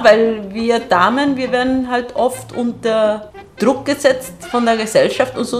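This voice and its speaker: A woman from Argentina, a native Spanish speaker, chats candidly and with a giggly manner as a guest telling personal stories on the radio. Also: on the high side. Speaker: singer and actress, radio guest. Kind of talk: casual personal storytelling in a radio interview. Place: radio broadcast. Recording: music behind the voice. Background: music.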